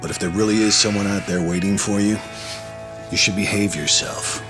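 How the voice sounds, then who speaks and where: A man's voice: on the low side, steady tone, moderate pace, music in the background, natural voice. A man playing a stern but caring prison guard in a video game drama, admonishing and matter-of-fact. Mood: weary reproach.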